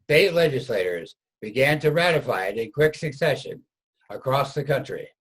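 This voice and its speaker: Husky man narrating a history script. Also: medium pitch, lively intonation, moderate pace, low-quality recording. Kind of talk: scripted narration for reader's theater.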